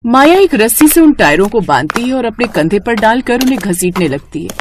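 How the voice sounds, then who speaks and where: A woman's voice: a little high, sing-song, a little fast, noisy background. A woman storyteller reading scripted narration for a cartoon moral tale.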